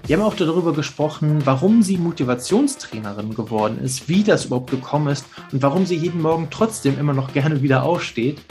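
A man's voice: on the high side, lively intonation, fast, few pauses, darkish tone, soft background music. A man hosting a podcast interview, talking in an enthusiastic, chatty way. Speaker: podcast host.

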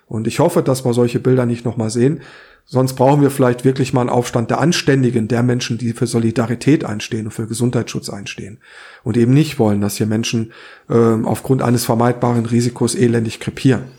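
Male podcast co-host, hoarse with a cold, opinionated and earnest delivery. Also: mid-range voice, steady tone, fast, mellow. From Saarland, Germany. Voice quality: rough, hoarse. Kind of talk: opinionated political podcast talk.

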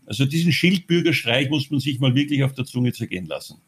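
A man from Austria, telling his own story in an interview, halting often with fillers and restarts. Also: mid-range voice, animated, husky.

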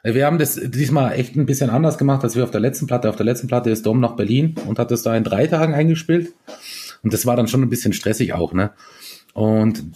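Mellow, husky-voiced musician man. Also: medium pitch, fairly quick. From East Tyrol, Austria. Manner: relaxed, chatty, with many fillers and restarts. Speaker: band musician, guest. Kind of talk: casual interview chat.

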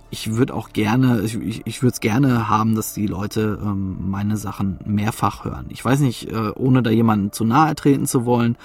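Low, creaky-voiced man in an interview. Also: darkish tone. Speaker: audio drama producer, interview guest. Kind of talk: unscripted interview talk.

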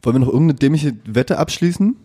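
A man's voice: fairly high pitch, expressive, fast, warm tone. A man co-hosting a podcast, chatting casually and joking brashly, with frequent swearing. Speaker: podcast co-host. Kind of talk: casual chat.

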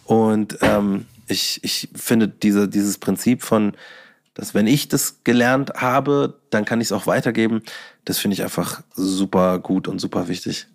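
A man chatting casually as an interview guest in youthful slang, halting and searching for words, then getting animated. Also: on the low side. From Bavaria, Germany. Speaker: guest, hip-hop DJ and workshop teacher.

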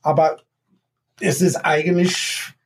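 A middle-aged man from the United States with an American accent, a ballet teacher talking as an interview guest in halting German full of pauses and small laughs. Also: on the high side, steady tone, slight background noise. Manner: halting German with many ums and frequent laughs. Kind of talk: casual interview over remote connection.

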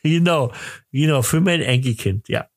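A middle-aged man with a Berlin accent, chatting casually as a podcast host in a rambling, halting, jokey way. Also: a little high, dry recording, clean studio sound. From Berlin, Germany.

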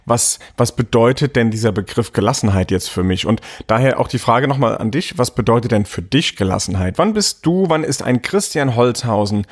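A man hosting a podcast, asking his guest friendly, deliberately provocative questions. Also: medium pitch, lively intonation. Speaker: podcast host. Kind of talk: podcast interview.

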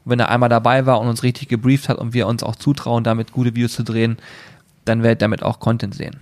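A man co-hosting a podcast in a relaxed, rambling chat, full of enthusiasm. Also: medium pitch, fast talker, no echo. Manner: relaxed, rambling, enthusiastic about grilling gear. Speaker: podcast co-host.

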